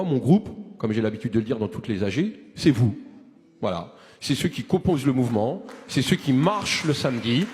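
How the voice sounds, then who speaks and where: A man's voice: medium pitch, a little mellow, big-room sound. A man in his forties giving an impassioned, rousing address to a movement, full of restarts and rhetorical questions. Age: 40s. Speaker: activist speaker.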